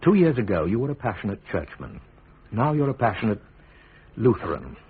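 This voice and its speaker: An actor playing a principled, dry-witted middle-aged statesman and firm father in a measured radio drama style. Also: on the low side, very animated, husky, heavily acted. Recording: tinny, band-limited radio drama recording.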